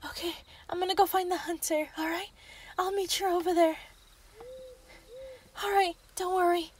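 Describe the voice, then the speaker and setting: A woman's voice: very high-pitched, fairly even intonation, moderate pace, voice pushed up. A woman playing a frightened villager who pleads urgently in a roleplay audio drama. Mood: panicked pleading.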